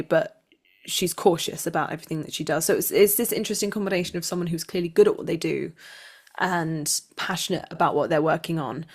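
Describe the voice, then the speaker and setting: A woman actor speaking conversationally as an interview guest. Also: on the low side.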